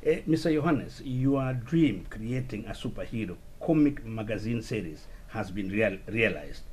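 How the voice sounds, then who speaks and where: A man interviewing a guest in a formal, halting way, often restarting his questions. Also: fairly low pitch, lively intonation, darkish tone, slight background noise.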